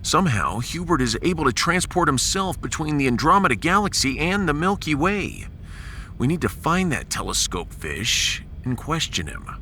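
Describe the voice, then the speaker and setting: A man's voice: a little high, sing-song, moderate pace, sharp tone, slight background noise. A man playing a firm, decisive starship captain with a dry manner in a science fiction audiodrama. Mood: stern.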